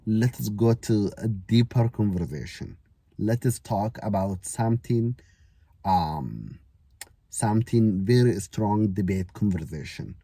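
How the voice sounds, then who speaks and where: A man teaching to learners, repeating each example phrase slowly, with grammar. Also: on the low side, warm tone, breathy edge, dry recording. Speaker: English teacher. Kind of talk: casual spoken English lesson. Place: Canada.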